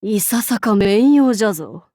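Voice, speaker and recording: A woman's voice: mid-range voice, animated, moderate pace, warm tone, no echo, clean studio sound, lightly acted. A woman voicing a haughty female demon-king warlord in a video game, speaking in an archaic, lordly and commanding way with amused authority. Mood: teasing, puzzled. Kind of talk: Japanese game character voice lines.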